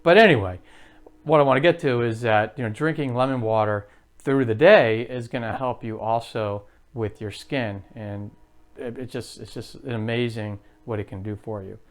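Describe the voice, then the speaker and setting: A man's voice: medium pitch, moderate pace. A man in his late fifties hosting his own health vlog, chatty and rambling, with lots of fillers and restarts. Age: 50s. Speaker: health vlogger. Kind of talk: casual to-camera vlog.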